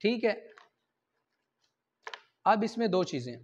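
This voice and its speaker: A man's voice: sits high, very animated, slow, a little mellow. A man with a Pakistani accent lecturing on law in an informal way, explaining point by point, asking and answering his own questions and switching into English for legal text. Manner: asks questions and answers them himself. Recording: dull, muffled lo-fi recording.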